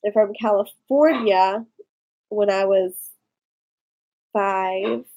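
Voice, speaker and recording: Brief: woman with a Maryland accent, chatty vlogger, slow and mellow. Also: mid-range voice, lo-fi sound. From Maryland, USA. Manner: rambling, chatty, talks to live viewers. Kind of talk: casual live-stream chat.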